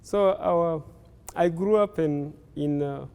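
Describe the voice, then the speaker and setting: An older man from Rwanda, a native Kinyarwanda speaker, recalling his life story slowly, pausing often with fillers. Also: fairly high pitch, gravelly, warm tone, clear, resonant tone, in a large hall. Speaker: interviewee. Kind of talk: slow life-story recollection.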